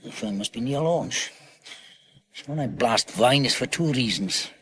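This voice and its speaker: An actor playing a bossy, edgy British crime crew leader in a radio drama, giving clipped, commanding instructions. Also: male voice, mid-range voice, animated, unhurried, a bit bright, a little hiss or hum. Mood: grim, vengeful.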